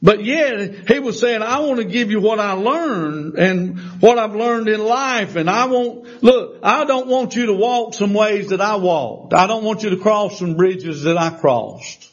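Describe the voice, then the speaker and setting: A man's voice: sits high, lively intonation, a little slow, few pauses. A man in his sixties preaching a folksy sermon in a church, with emphatic rises and call-and-response. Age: 60s. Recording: dull lo-fi sermon recording.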